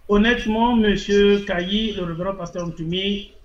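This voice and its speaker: A man's voice: high-pitched, fairly even intonation, measured pace, muffled recording. A man with a Congolese French accent, a political activist answering an interviewer, repeating his phrases for emphasis. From Republic of the Congo.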